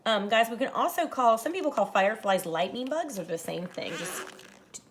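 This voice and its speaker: A woman teacher reading a picture book aloud to children, expressive and dramatic, speaking to them directly. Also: medium pitch, normal speed, slight background noise. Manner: animated, dramatizes the story lines, addresses children directly. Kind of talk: expressive children's read-aloud with explanatory asides.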